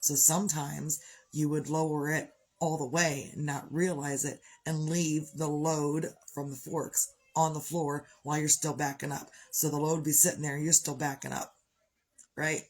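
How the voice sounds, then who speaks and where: A woman's voice: sits low, flat delivery, normal speed, slightly rough. Congested woman rambling on a live video. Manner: chatty, upbeat, wanders off topic. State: congested, clearing her throat. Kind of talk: rambling motivational chat.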